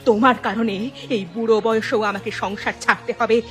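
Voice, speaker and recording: Casting: a woman as an aggrieved cartoon village wife, fairly high voice, emphatic and scolding, fiercely resolved, music underneath. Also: level tone, a little fast, lightly acted. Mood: angry, hurt. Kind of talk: dramatic Bengali cartoon dialogue dubbing.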